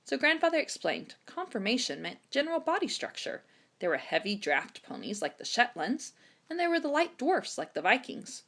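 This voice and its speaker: Very animated woman reading a children's story. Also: on the high side, moderate pace. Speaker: storytime reader. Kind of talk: expressive children's audiobook narration.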